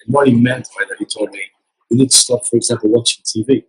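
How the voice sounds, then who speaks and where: A man giving an earnest motivational account as an interview guest, hoarse from losing his voice. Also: medium pitch, fairly even intonation, fast talker, low-quality recording. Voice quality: very husky. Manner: earnest, repetitive motivational talk. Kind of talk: casual interview. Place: casino resort event.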